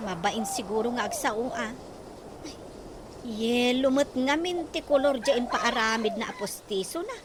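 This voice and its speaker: A woman's voice: on the high side, expressive, measured pace. A woman in an Ilocano radio comedy drama, teasing and gossipy. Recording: noisy background hiss.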